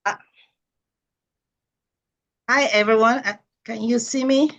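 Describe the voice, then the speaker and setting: A woman from Nigeria with a Nigerian accent, giving a measured, explanatory talk as a massage therapist over a video call. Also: fairly high pitch, fairly even intonation, a bit bright, highs cut off. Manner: measured, explanatory, self-correcting.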